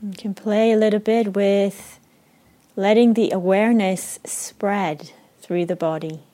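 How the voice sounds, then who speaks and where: A woman with an Israeli accent leading a guided meditation, speaking slowly and softly with long pauses. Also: medium pitch, steady tone. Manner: slow, soft and unhurried, with long pauses. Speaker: meditation teacher.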